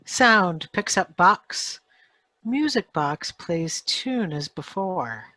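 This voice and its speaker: Very slow, bright woman announcing sound cues. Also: lowish voice, lively intonation, dry recording. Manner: clipped, announcing short cues. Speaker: sound effects reader. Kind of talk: reading out script sound cues. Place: home, live video call performance.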